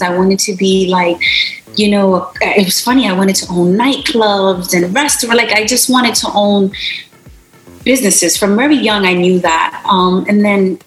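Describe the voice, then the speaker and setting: A businesswoman from Brooklyn, answering interview questions in a chatty way with lots of fillers. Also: mid-range voice. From Brooklyn, New York. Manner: chatty, laughs easily, many fillers. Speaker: interview guest, business owner. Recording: roomy echo, faint music behind.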